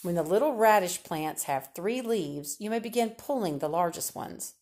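A woman's voice: lowish voice, expressive, normal speed, darkish tone, close to an everyday voice. A woman reader voicing a kindly, unflappable older woman who gives cheerful, matter-of-fact advice about children.

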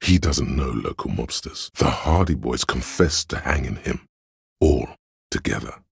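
An actor playing a cold, analytical inner voice of logic in a game, husky and gravelly, speaking in deliberate, clipped, instructive statements. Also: male voice, very deep voice, expressive, no room sound, studio quality, heavily acted. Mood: probing. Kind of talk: dramatic second-person game narration.

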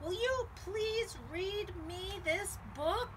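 A woman voicing an earnest, eager young male storybook dragon, pleading in drawn-out words for a children's read-aloud. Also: very high voice, voice pushed up, full character voice. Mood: friendly pleading. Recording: distant outdoor mic, clear background noise.

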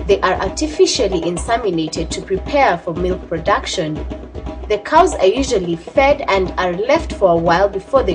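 Female documentary narrator, scripted read, animated intonation, mid-pitched, faint music bed.

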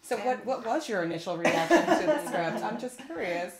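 A woman with a mid-pitched, animated voice leads a casual group chat. She is encouraging and repeats short phrases. The room is echoey and busy with other voices. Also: normal speed, a loud crowd. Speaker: podcast writer leading the discussion. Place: room, group around a table. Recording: roomy, busy group recording.

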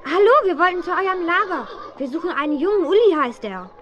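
A woman's voice: very high voice, lively intonation, fast talker. A woman playing a plucky, headstrong teenage girl detective in a children's radio play, eager and insistent. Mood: curious, eager. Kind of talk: 1970s German children's radio play acting. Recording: dull, muffled old audio drama recording.

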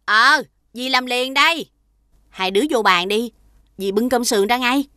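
Kindly auntie food seller, cartoon, highish bright voice, patient, recorded dry. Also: female voice, unhurried, no room sound. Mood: friendly. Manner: obliging, patiently explaining. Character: kindly middle-aged female street food vendor, an auntie. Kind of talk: children's cartoon voice acting.

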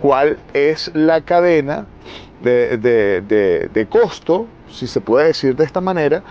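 A man's voice: fairly high pitch, big pitch swings, slow, lo-fi sound. A man in his forties with a Venezuelan Spanish accent, reporting from inside his car on a city street, questioning people with pointed, indignant questions and emphatic repeats.

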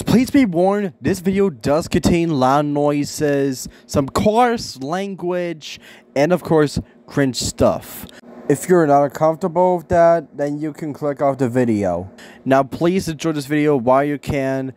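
A man's voice: fairly high pitch, darkish tone. A man speaking casually and earnestly as an amateur creator introducing a video.

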